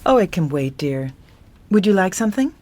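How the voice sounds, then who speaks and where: A woman's voice: lowish voice, very animated, moderate pace, warm tone. A woman acting in a radio drama as a gracious, flirtatious middle-aged widow with a genteel, teasing manner.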